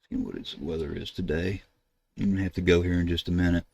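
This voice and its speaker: An older American man narrating a casual screen-share tutorial, thinking aloud in a halting way as he works at his computer. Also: low-pitched, steady tone, creaky, darkish tone. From United States. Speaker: ham radio video host. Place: indoors at a computer desk.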